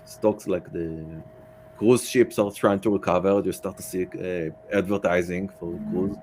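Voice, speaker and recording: A man speaking as a podcast guest in casual conversation, with halting, choppy phrasing and slightly irregular English grammar. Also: fairly even intonation. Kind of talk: casual interview chat. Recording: noisy call with low hum.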